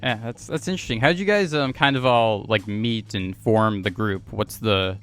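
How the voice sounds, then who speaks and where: Low-voiced easygoing interview host. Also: male voice, normal speed, fairly bright tone, clear, resonant tone. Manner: easygoing, lots of fillers like "yeah" and "like". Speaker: podcast host. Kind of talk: casual interview. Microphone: video call.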